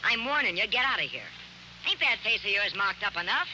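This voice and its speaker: A woman's voice: medium pitch, big pitch swings, a little fast, close to an everyday voice. An actress playing a wary, hard-bitten American woman, curt and defensive, in a 1940s radio drama. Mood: hostile, warning. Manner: curt, defensive, tough-talking. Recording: noisy old radio recording.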